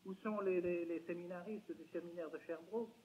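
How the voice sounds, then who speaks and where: Older priest lecturing, emphatic, stumbles and repeats, tinny old tape. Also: male voice, high-pitched, steady tone, moderate pace, slight background noise. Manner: emphatic, rhetorical questions, frequent repetitions. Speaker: archbishop giving a lecture. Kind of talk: religious lecture. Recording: tinny lo-fi 1970s lecture recording.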